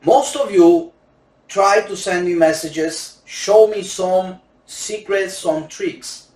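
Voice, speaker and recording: A man with a Romanian accent, a native Romanian speaker using broken English, giving a slow, emphatic sales pitch for roulette courses. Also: a little mellow. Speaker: roulette instructor selling courses. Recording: echoey room, distant mic.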